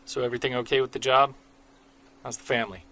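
An actor playing an earnest male Bigfoot investigator who narrates in the first person, measured and defiant toward skeptics. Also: mid-range voice, fairly even intonation, fairly bright tone, slight background noise, natural voice. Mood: strained. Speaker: narrator and lead character. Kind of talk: audio drama narration.

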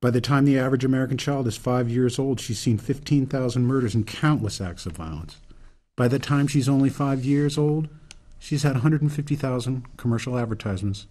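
A man acting in a radio drama as an earnest older reformer, delivering a deliberate, solemn, speechifying monologue. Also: medium pitch, dark tone, lightly acted. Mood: grave, urgent. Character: earnest older male reformer laying out a grave case.

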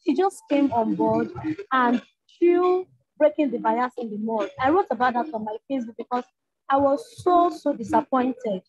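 Young Nigerian woman, high husky voice, impassioned panelist on a muffled video call. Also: expressive, normal speed. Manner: earnest, urging, repeats phrases for emphasis. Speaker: panelist, leader of a campus organization. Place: indoor room at home.

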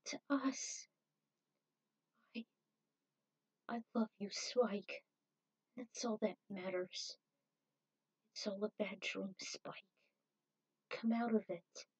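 A woman voicing a desperate woman pleading with her lover, breathy, halting and falling into whispers, in a story reading. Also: on the high side, darkish tone, low-quality recording.

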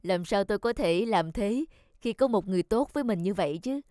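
A woman's voice: mid-range voice, expressive, a little fast, very noisy, close to an everyday voice. A woman voicing an honest, humble poor man in a cartoon, polite and grateful. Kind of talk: animated cartoon dubbing.

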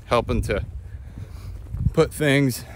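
A man's voice: medium pitch, takes it slowly, a little hiss or hum. A man in his thirties chatting casually and reflectively to a phone while walking outdoors. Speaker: travel vlogger. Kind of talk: casual walking vlog chat. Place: outdoors on a countryside footpath. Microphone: phone at arm's length.

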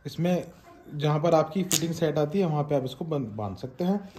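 A man's voice: fairly high pitch, lively intonation, brisk, dark tone, a little hiss or hum. A man in his thirties from India, casually unboxing and reviewing a helmet for viewers, mixing in English phrases. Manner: chatty, addresses viewers as guys and friends, mixes in English phrases. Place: small home room with shelves of trophies, indoors.

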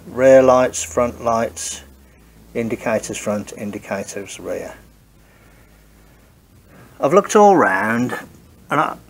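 Hobby restorer, man, mid-pitched, nasal from a cold, muttering through his work near a handheld camera in a garage. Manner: hesitant, thinking aloud while working. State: congested from a cold. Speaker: home car restorer. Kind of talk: casual workshop walkthrough. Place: home garage workshop.

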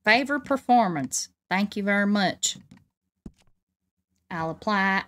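A woman speaks with a Southern American drawl. She chats casually on a game livestream, using folksy cowboy phrases in a mid-pitched voice. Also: animated, slow, fairly bright tone. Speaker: livestreaming VTuber host playing a video game.

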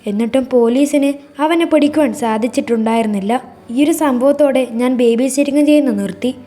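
Mellow, higher-voiced woman narrating horror. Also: moderate pace. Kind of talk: scripted first-person storytelling.

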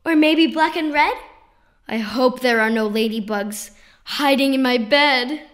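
A woman reading children's poetry aloud in an expressive, playful, sing-song and emphatic way. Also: high-pitched, a little slow. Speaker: children's poetry author and reader.

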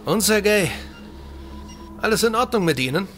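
A man's voice: high-pitched, expressive, moderate pace, a bit bright, faint music behind. A man playing an authoritative geophysicist in a radio thriller, speaking in a matter-of-fact way. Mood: courteous, matter-of-fact. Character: authoritative male geophysicist, senior team member. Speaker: actor in an audio drama. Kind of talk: radio play dialogue.

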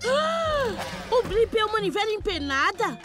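A woman's voice: very high-pitched, big pitch swings, normal speed, music in the background. A woman voicing a goofy, gullible young boy motorbike with silly delivery. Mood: shocked.

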